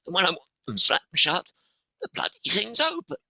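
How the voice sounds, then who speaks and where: A middle-aged man, a podcast guest, talking with a strained, hoarse voice in halting phrases that break off and restart. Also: sits high, huge pitch swings, pushed and bright, no echo. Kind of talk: interview conversation.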